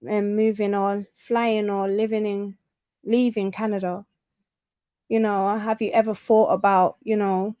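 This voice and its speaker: A woman's voice: mid-range voice, mellow, dry recording. A woman hosting a casual livestream, chatting and reading viewer comments aloud. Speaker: livestream host.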